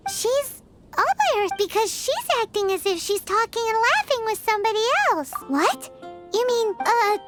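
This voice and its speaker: A woman voicing a tiny, excitable childlike floating fairy in a game, squeaky and dramatic. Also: full character voice. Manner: refers to self in third person, dramatic exclaiming. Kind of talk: animated game dialogue. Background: game background music.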